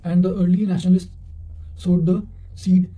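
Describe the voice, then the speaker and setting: A man's voice: high voice, little pitch movement, normal speed, mellow. A man reading history study notes aloud in a careful delivery, occasionally stumbling over words. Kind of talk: reading quotations aloud for exam revision.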